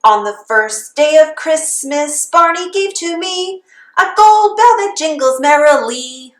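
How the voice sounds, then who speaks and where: A woman's voice: high-pitched, expressive, a little slow, fairly bright tone, echoey room. A woman reading a children's storybook aloud in the sing-song manner of a Christmas carol. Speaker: storybook reader.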